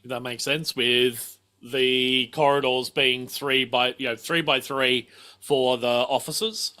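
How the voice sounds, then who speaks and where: A man's voice: mid-range voice, steady tone, takes it slowly, bright, forceful tone, smooth. A man running a tabletop game as game master, explaining and thinking aloud as he improvises.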